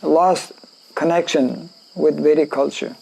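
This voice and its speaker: A French Canadian man, a native French speaker, teaching a scripture class in a gravelly, dark-toned voice that sits a little high. He speaks very slowly, pausing on fillers and clearing his throat again and again as he explains the verse. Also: fairly even intonation, low-quality recording. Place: indoor class in a rural town.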